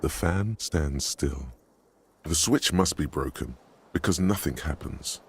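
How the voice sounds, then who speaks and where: A man playing a grave, brooding narrator of a detective game, reading second-person prose in a deliberate, deadpan way. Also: extremely low pitch, vocal fry, slight background noise, heavily acted.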